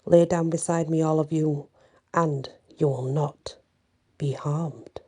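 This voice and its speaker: Woman reading as a husky, brave straw scarecrow. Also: deep voice, steady tone, takes it slowly, darkish tone, full character voice. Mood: calm, protective. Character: brave, protective straw scarecrow, male, friendly.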